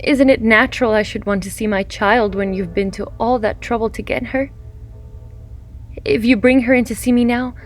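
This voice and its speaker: A woman's voice: on the high side, fairly even intonation, moderate pace, lightly acted. A woman playing a frail, feverish young mother who pleads desperately for her child in a radio drama. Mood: feverish, yearning.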